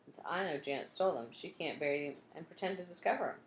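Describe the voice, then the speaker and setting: A woman reading a storybook and voicing a courteous, reassuring male museum director in a measured, polite manner. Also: low-pitched, lively intonation. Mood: calm, polite. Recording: roomy echo, some background noise.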